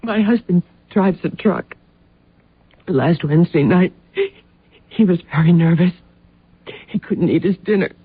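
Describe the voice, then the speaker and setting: An actress playing a distraught, insistent wife who pleads earnestly, in the style of old-time radio drama. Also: female voice, lowish voice, unhurried, long pauses, slightly rough, dry recording. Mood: anxious. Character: distraught, pleading wife of a missing truck driver. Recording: noisy, narrow-band old radio recording.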